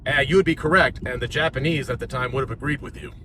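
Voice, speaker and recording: An American man talks fitness in a loose, joking vlog style, circling back and stumbling over his words when he runs out of ideas. His voice sits at an ordinary middle pitch. Steady road noise and passing cars run under the recording. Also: fast. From United States. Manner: rambling and jokey, stutters when thinking. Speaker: fitness coach. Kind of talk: casual talking-head vlog. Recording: noisy with car sounds behind.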